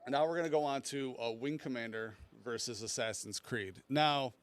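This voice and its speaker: A man's voice: medium pitch, normal speed. A man co-hosting a podcast in casual chat, rambling and hesitant in an easygoing way. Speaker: podcast co-host.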